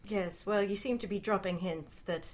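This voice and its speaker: Woman acting nervous polite man, stammering, understated, mid-range pitch, reluctantly fond, storybook character. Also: normal speed, natural voice. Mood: flustered, resigned.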